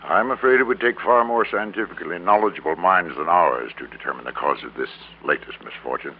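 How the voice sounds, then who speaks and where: Grandiose, formal businessman, about sixty, vintage radio drama. Deep, gravelly delivery, tinny old recording. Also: a little fast. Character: slight, gray-haired, self-important businessman of about sixty. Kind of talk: classic American radio drama acting.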